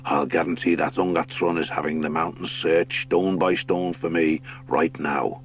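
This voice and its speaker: A man plays an old badger lord from an animal adventure audiobook. He is gruff and very low in pitch, a steady commander who speaks with deliberate authority and weighs things as he talks. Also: faint music behind. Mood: grave, musing. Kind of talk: audiobook character acting.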